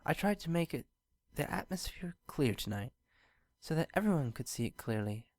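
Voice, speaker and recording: A storybook reader voicing a gentle, wistful, shy alicorn princess in a soft, formal manner. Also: medium pitch, sing-song, normal speed, no echo, voice pushed up. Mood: sad, subdued.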